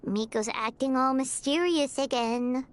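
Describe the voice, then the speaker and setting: A woman voicing a tiny, excitable girlish companion who talks about herself in the third person. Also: high voice, measured pace, slight background noise. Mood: eager.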